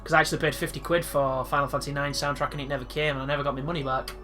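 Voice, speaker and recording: A man's voice: a little high, flat delivery, very fast, sharp tone. A man chatting casually while playing a video game, rambling with restarts and asides over faint game music. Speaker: let's play host. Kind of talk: casual live gameplay commentary.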